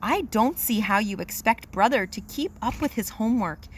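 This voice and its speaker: A woman's voice: a little high, lively intonation, normal speed, slight background noise, close to an everyday voice. Woman reading as smug little storybook sister bear. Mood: smug teasing. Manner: teasing, emphatic listing.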